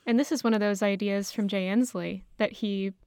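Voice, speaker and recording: A woman's voice: medium pitch, fairly even intonation, normal speed, a little mellow, clear, resonant tone, no echo, clean studio sound. A woman co-hosting a podcast, discussing news in an earnest, explanatory, slightly tentative conversational way. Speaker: podcast co-host.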